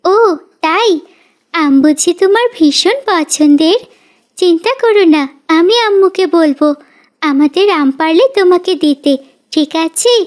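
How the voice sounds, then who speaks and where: A woman's voice: extremely high pitch, a little slow. A woman voicing an earnest, kindly young village girl in a cartoon, with a friendly manner.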